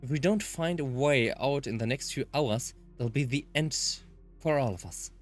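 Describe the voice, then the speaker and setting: A man reads a serious young woman from a visual novel. The delivery is composed, with soft music underneath. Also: medium pitch, normal speed, lightly acted. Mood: composed, grave.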